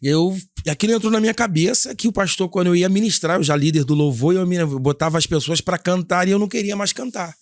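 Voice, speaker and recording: A man with a Brazilian Portuguese accent, a gospel singer and worship leader telling his story in a casual podcast interview, with a husky timbre and earnest, halting delivery full of restarts. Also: high-pitched, no echo, studio quality. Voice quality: husky, gravelly singing timbre.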